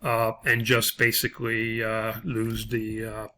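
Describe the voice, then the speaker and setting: A man working through a lesson as an instructor, explaining informally step by step with frequent hesitant pauses. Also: on the low side, monotone, bright, forceful tone. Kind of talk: informal video lesson walkthrough.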